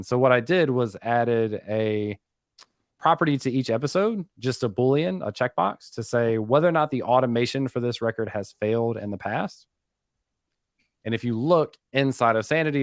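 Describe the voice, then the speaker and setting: A man's voice: mid-range voice, no echo. Guy doing a laid-back coding demo, jokey about himself, dry close sound. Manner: self-deprecating, joking. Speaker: guest developer giving a demo. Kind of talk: casual technical walkthrough.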